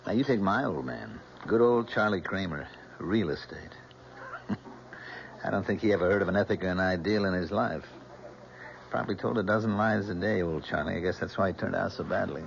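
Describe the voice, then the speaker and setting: A man's voice: low voice, moderate pace, vocal fry. An American man playing a bitter, sardonic young fiancé who drinks hard, with a sarcastic, self-mocking manner, in an old-time radio drama. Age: young adult. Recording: muffled, noisy old radio recording.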